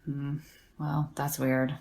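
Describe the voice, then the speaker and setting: An actress playing a blunt, sardonic woman academic in an audio drama, with a dry, deadpan, sweary delivery. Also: a bass voice, takes it slowly, creaky, full character voice.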